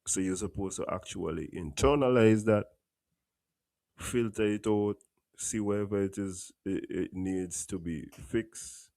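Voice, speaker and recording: Deep gravelly Caribbean man, stuffy. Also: a little mellow, dry recording, clean studio sound. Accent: Caribbean English. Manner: plainspoken, blunt, unhurried monologue. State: stuffy from a cold. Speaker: host. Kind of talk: political commentary livestream. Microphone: home studio mic.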